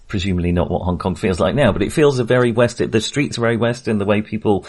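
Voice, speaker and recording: A man's voice: fairly low pitch, fast, a little mellow. A man casually discussing a film as a podcast co-host, in a hesitant, rambling way.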